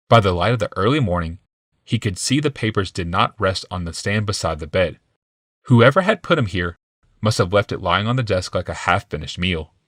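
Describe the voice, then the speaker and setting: Male narrator for fantasy audiobook: lowish, animated delivery, dry studio-close sound. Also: dry recording. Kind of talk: dramatic scripted fiction narration.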